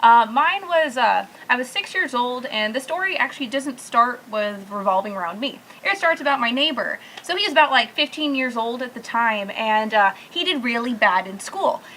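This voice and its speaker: Female podcast guest, bright forceful tone, joking storyteller, casual, light noise behind her. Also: on the high side, expressive, normal speed, few pauses, poor audio. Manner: playful, joking. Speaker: podcast guest, video game company marketing staffer. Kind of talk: casual group chat, telling anecdotes.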